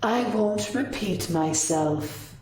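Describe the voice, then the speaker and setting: Woman voicing a slow, menacing kitsune woman. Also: mid-range voice, animated, a little mellow. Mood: cold warning. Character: fierce, menacing kitsune woman, proud and vengeful. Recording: roomy echo, distant mic, faint noise.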